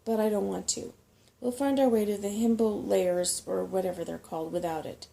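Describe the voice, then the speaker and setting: Female reader as prickly brownie girl for a storybook: suspicious, blunt, soft-spoken, some fry. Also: medium pitch, steady tone, normal speed, lightly acted. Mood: threatening. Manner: grumbling, confrontational.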